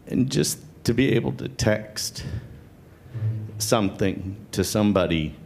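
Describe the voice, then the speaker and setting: A man in the audience speaks in a very deep voice and stumbles hesitantly through his question with many fillers. A faint hiss sits under him. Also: expressive, a little slow, vocal fry. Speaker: audience member asking a question.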